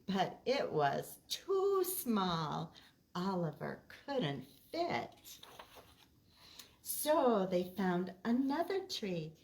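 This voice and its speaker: A woman's voice: medium pitch, big pitch swings, very slow, a little mellow. A woman hosting preschool storytime as a children's librarian, reading picture books expressively and explaining simply to small children. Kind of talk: picture-book read-aloud and chat to young children.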